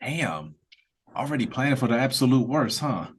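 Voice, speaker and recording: A man's voice: medium pitch, very animated, normal speed, husky. A man playing a chatty late-night diner customer in a casual audio drama read-through over a video call. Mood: wry.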